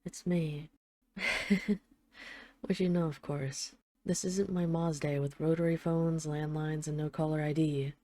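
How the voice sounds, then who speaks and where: A woman's voice: sits low, little pitch movement, slow pace, dry recording. A woman playing an affectionate, wry mafia boss who misses her partner, speaking in an intimate, conversational roleplay monologue like a voicemail. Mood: tender, sheepish. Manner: conversational, affectionate, gently self-deprecating, wry. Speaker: audio roleplay voice actor.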